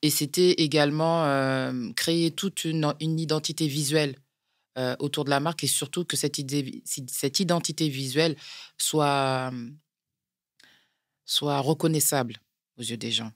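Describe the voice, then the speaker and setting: A woman with a French accent, a brand founder answering interview questions in a measured way, pausing often to find her words. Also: deep voice, steady tone, dry recording, studio quality. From France. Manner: measured, explains step by step with frequent hesitations. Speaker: guest, clothing brand founder. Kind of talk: interview conversation.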